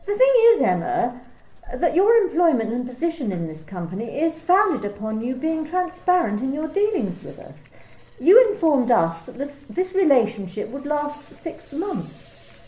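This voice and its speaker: A cold, controlling company manager, as a woman acts her out, clipped and coolly formal. Her voice sits high and swings widely in pitch but has a mellow, dark tone. It is recorded in an echoey room at home, like an amateur radio play. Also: very animated, a little slow. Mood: stern. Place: home during lockdown.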